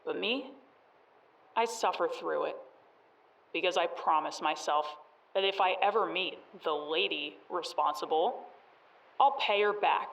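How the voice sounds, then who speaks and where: A woman's voice: on the low side, a little slow, vocal fry, pauses often, a bit bright, distant mic, poor audio. A woman playing a bitter, vengeful wasteland survivor in an audio drama, speaking colloquially and with menace. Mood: haunted, bitter.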